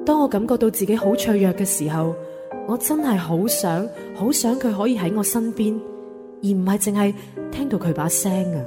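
A woman's voice: medium pitch, animated, normal speed, lightly acted. A woman playing a troubled young office worker who confides her love story in an introspective, first-person radio drama narration. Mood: wistful. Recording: long reverb over music. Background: plucked guitar music.

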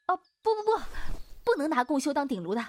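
A woman's voice: high voice, very animated, fast. An actress playing an easygoing, apologetic Taoist swordswoman in a wuxia audio drama, speaking in a hesitant, roundabout way. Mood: flustered.

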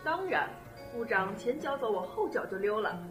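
A woman's voice: a little high, very animated, normal speed. A woman acting a girlish, mischievous mother in an audio drama, sweet and childlike. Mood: breezy, pouty. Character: girlish, carefree, mischievous middle-aged mother. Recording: roomy echo, music behind.

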